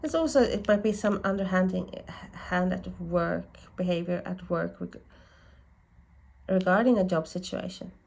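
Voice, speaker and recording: A woman's voice: on the low side, normal speed, gravelly, a little mellow. A woman giving a casual tarot reading, sounding bunged up from a cold and musing aloud with frequent self-corrections. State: congested from a lingering cold. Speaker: tarot reader.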